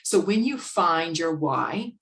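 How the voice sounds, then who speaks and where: A woman's voice: fairly low pitch, lively intonation, a bit bright. An outgoing American woman, a guest expert, chatting casually about business and restarting her sentences often. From United States. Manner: outgoing, speaks in quick bursts with restarts.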